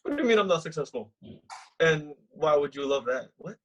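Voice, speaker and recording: A man playing a proud, easily nettled young actor in a comedy table read, husky and clipped. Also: on the high side, lively intonation, brisk, rough recording. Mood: annoyed. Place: at home, remote table read.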